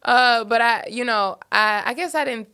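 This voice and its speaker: A woman from Detroit, a guest singer-songwriter, chatting casually with relaxed restarts and fillers. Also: a little high, moderate pace, clean tone. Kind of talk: casual chat.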